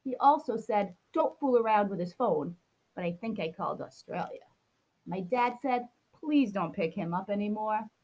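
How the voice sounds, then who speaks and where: A woman's voice: medium pitch, lively intonation, normal speed, darkish tone, poor audio. A woman reading a children's picture book aloud, expressively acting out a grumpy child's complaints. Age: adult. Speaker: storybook reader.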